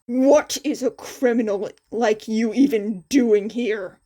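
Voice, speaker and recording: A woman acts out a fiery hero dad from a fan fiction tale. He is stubborn, indignant and combative, with slow delivery in a fairly high voice. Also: dark tone. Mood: angry, indignant. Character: angry, stubborn fiery father, a male hero.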